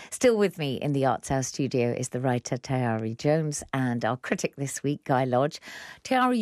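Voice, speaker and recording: A woman presenting a radio arts programme, reading a scripted introduction in a clear, measured way. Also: low voice, darkish tone, no room sound, studio quality.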